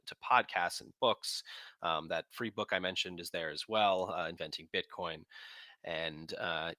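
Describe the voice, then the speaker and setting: A man's voice: low-pitched, sharp tone, no echo. A man hosting a live audio chat room, upbeat and loose with lots of fillers. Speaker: host. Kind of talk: casual chat.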